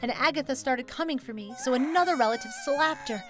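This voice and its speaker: A woman's voice: high-pitched, animated, brisk, music in the background. A woman giving earnest, dramatic first-person narration of a scripted story.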